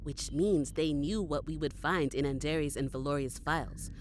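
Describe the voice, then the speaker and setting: A woman's voice: deep voice, normal speed, darkish tone, slight background noise, lightly acted, rough recording. A woman playing a blunt, sceptical, foul-mouthed adventuring companion in a fantasy audio drama. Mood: suspicious. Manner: sardonic, blunt, swearing.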